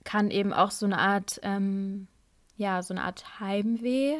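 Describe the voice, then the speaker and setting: A woman's voice: medium pitch, measured pace, dry recording. A woman co-hosting a podcast, musing thoughtfully about longing and identity with casual fillers. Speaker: podcast co-host.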